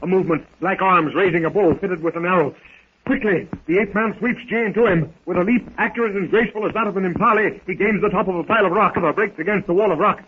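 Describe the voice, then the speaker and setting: A man's voice: high-pitched, fast. Dramatic male narrator, tinny vintage radio. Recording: noisy, tinny 1930s radio recording.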